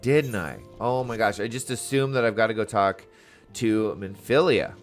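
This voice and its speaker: A man's voice: lowish voice, moderate pace, faint music behind. A man giving casual, playful commentary as he plays through a video game. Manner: playful, chatty, exclaiming. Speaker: gaming streamer. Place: dark room at home.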